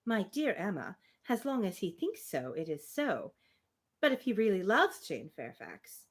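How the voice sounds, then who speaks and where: A woman reading a gently persistent married woman from a Regency novel, formal, teasing and persuasive. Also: mid-range voice, very animated, measured pace, slightly rough, exaggerated voice. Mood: gently chiding.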